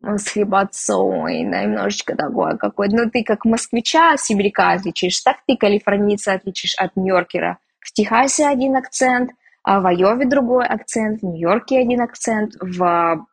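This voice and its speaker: A woman's voice: mid-range voice, animated, normal speed, creaky, breathy edge. A woman from Russia chatting frankly and casually in an interview, joking about herself. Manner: frank, chatty, self-mocking. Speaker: interviewee, emigrant. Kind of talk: candid casual chat.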